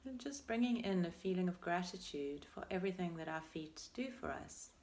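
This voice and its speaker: A woman with a fairly low voice and a clear creak leads a guided meditation. She gives soft, soothing instructions at an unhurried pace, leaving space between phrases. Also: animated. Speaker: meditation teacher. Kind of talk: guided meditation, body scan.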